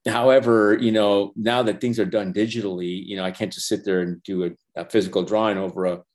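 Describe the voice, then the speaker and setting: An older man whose first language is Spanish, talking earnestly in a casual interview, halting with many restarts and fillers. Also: lowish voice, little pitch movement, darkish tone. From Los Angeles. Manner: earnest, reflective, faith-centered. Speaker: animation professor and former feature animator, guest.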